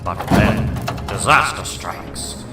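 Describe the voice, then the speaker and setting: Grave, deliberate comic book narrator, voiced by a man, dramatic and suspenseful, over clear music. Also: fairly low pitch, animated, reverberant, voice pushed down. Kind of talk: scripted narration.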